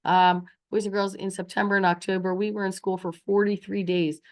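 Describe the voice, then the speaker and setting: A woman's voice: medium pitch, level tone, brisk. A woman teacher with a stuffy nose and scratchy throat, leading a recorded morning meeting in a sing-song, encouraging voice for young children.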